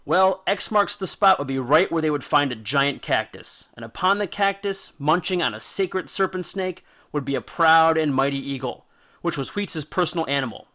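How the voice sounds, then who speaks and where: A man tells a tale as a playful storyteller. His voice is fairly high and very bright, with a forceful edge. He delivers scripted narration in casual wording, with theatrical punch and dramatic pauses. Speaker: host and narrator of a mythology series.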